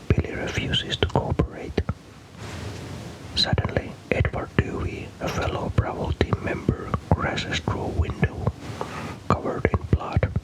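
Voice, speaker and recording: Softly whispering male ASMR storyteller. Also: poor audio. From Finland. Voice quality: soft, unvoiced, breathy whisper. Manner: slow, deliberate storytelling. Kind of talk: whispered ASMR scripted narration.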